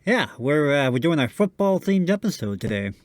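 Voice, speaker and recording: A male radio host trading scripted comic banter as the straight man. His voice sits a little high and swings animatedly, with a dry studio sound. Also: sing-song, normal speed, no echo.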